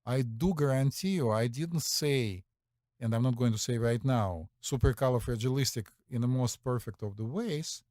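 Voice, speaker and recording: A man's voice: mid-range voice, moderate pace, a little mellow, dry recording, clean studio sound. A man with a Russian accent hosting a casual chat, rambling and hesitant with many fillers. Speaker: podcast host.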